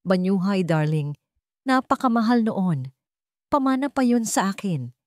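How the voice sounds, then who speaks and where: A woman's voice: medium pitch, very animated, a little slow, dry recording, studio quality. A woman voicing a scheming, glamorous woman who is melodramatic, whining and accusing. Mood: fake tearful.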